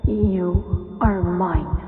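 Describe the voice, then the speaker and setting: A woman playing a sadistic, possessive vampire master in an intimate audio roleplay, teasing and imperious, with a breathy, husky voice. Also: on the low side, no room sound, phone-quality sound, faint music behind, exaggerated voice. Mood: possessive, cooing. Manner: slow, taunting, imperious, with breathy whispers.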